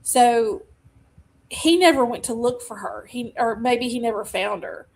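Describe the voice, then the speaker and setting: A woman's voice: on the high side, unhurried, gravelly, darkish tone. A woman with a Southern US accent, casually chatting about movies on a podcast in a laid-back way. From Deep South of the US. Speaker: podcast co-host. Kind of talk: casual movie review chat.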